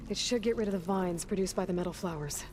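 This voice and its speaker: A woman's voice: on the low side, quick pace, darkish tone, slight background noise, lightly acted. Woman playing a determined, matter-of-fact young huntress. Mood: focused, purposeful. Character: determined young female hunter and explorer, practical and focused. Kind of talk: video game cutscene dialogue.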